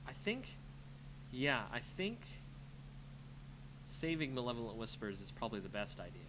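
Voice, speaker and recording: Male game streamer, lively swings in intonation, casual self-talk, noticeable humming background noise. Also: medium pitch, normal speed. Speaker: streamer playing an online card game. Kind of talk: live gameplay commentary, thinking aloud. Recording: clear background noise with hum.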